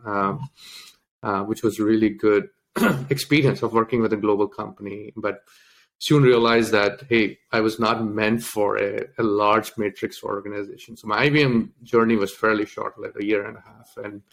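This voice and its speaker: A man from India, an interview guest, telling his life story in a halting way with many fillers and restarts. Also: lowish voice, rough recording.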